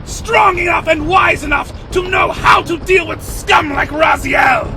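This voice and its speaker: A man's voice: very high-pitched, unhurried, bright, forceful tone, raised voice, a little hiss or hum, exaggerated voice, rough recording. A man acting a furious, contemptuous vampire commander in an audio drama, venomous and snarling. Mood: enraged.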